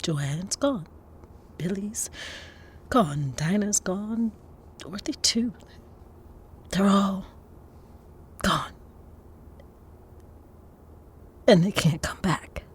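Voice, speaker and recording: A woman playing a tender, sorrowful lover in an audio drama, speaking in a hushed, gentle, breathy way. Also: mid-range voice, takes it slowly, pauses often.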